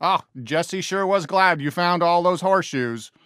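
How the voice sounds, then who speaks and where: A man voicing a friendly, earnest, excitable cartoon cowboy sheriff for a video game, with an American accent and a folksy drawl full of cowboy slang. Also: high-pitched, moderate pace, smooth, dry recording, clean studio sound. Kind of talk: animated game character voice lines.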